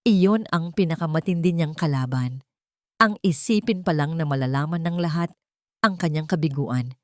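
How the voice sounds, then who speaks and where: Low-voiced Filipino woman narrating drama. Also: moderate pace, no echo. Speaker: story narrator. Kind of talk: dramatic scripted story narration.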